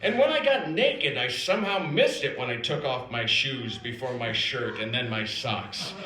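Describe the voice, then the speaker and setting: An American man performing a scripted comedic story on stage with theatrical, deliberate pauses and emphatic delivery. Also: medium pitch, expressive, bright, forceful tone. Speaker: storyteller performing own written piece. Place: theater stage before an audience. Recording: roomy live stage recording. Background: audience laughter.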